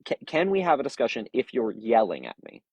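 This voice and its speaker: A man's voice: mid-range voice, wildly swooping pitch, brisk, a little mellow, breathy edge, highs cut off. A man hosting a live-stream debate, pressing his opponent with repeated questions while apologizing for cutting in. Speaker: show host.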